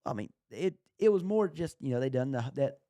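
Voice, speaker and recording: A man with a mid-pitched voice, recorded dry with little room sound. He chats casually as a podcast co-host discussing films. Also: lively intonation, a little fast, mellow, no echo. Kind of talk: casual film chat.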